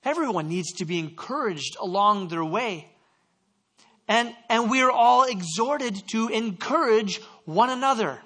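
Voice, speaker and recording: A man's voice: high voice, lively intonation, measured pace, a bit bright. A man from Canada preaching a sermon, telling stories with self-deprecating asides and stumbling restarts. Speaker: pastor.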